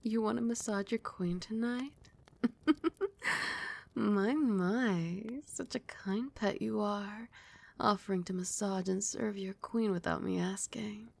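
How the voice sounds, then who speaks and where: Casting: female actor for regal vampire queen in ASMR roleplay, indulgent yet commanding mistress, low-pitched fry at the edges, breathy and whispery, formally coaxing. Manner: slow, formal, coaxing pet names. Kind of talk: ASMR roleplay, intimate scripted acting.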